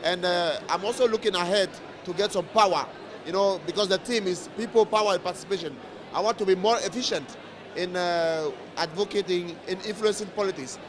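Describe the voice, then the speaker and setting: Earnest high-voiced young man. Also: a little fast, crowd noise. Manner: earnest and enthusiastic. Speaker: youth organization leader. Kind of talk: vox pop interview.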